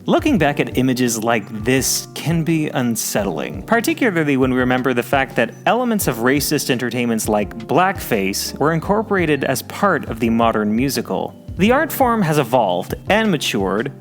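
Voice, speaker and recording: A man hosting and narrating a documentary-style episode in a reflective, measured way. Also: mid-range voice. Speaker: host.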